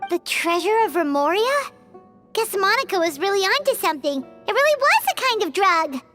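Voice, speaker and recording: A woman voicing a tiny, chirpy floating companion with a squeaky, childlike voice in a video game. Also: very animated, normal speed, over music. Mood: curious. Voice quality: squeaky, bouncy.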